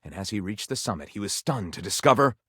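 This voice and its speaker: A man's voice: fairly low pitch, expressive, fast, sharp tone, slightly rough, dry recording, clean studio sound, exaggerated voice. A man playing a theatrical, tongue-in-cheek narrator of pulpy detective tales in a video game, arch and savoring every dramatic turn.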